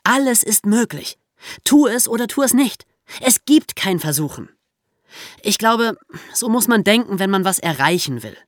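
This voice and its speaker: A woman's voice: mid-range voice, big pitch swings, normal speed, dry recording, studio quality. A woman co-hosting a podcast in a scripted discussion. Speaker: podcast co-host.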